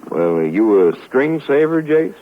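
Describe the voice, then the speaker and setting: An actor playing an easygoing small-town sheriff in a 1950s radio drama, with a folksy, hesitant drawl. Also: male voice, medium pitch, sing-song, measured pace. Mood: curious, casual. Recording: muffled 1950s radio recording, faint noise.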